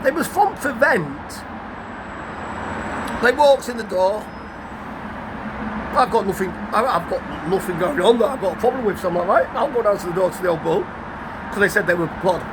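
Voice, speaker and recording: A man, croaky from a cold, telling a rambling, guarded story to his vlog audience, full of restarts. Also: high voice, expressive, normal speed, long pauses. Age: 50s. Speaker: vlogger. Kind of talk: casual story to camera. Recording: outdoor traffic noise behind.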